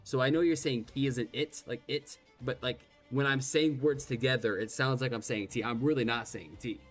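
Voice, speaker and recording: A man's voice: mid-range voice, steady tone, normal speed. A man with a slight lisp whose first language is Gaelic, chatting casually while streaming a game, rambling and self-deprecating, swearing now and then. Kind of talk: casual gameplay commentary. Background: faint game music.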